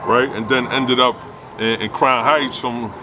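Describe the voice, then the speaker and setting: A man's voice: mid-range voice, fairly even intonation, measured pace, noisy background. A man from Trinidad, a guest tattoo artist, telling his story in casual chat, laid back and peppering his talk with "you know what I mean". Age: adult with own family.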